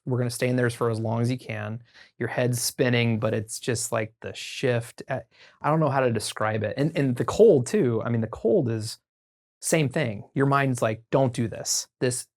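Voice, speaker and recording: An American man chatting casually as a podcast guest, telling stories informally and haltingly as he thinks aloud. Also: medium pitch. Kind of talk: casual conversational storytelling.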